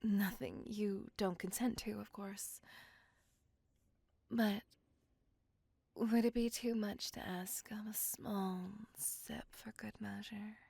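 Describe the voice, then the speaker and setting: A woman voicing a refined, possessive vampire in an intimate ASMR roleplay, with a breathy whisper and formal, old-fashioned phrasing. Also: medium pitch, fairly even intonation, takes it slowly, long pauses, dark tone, no echo, exaggerated voice. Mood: courting, tempting. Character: refined, possessive, smitten female vampire courting a human.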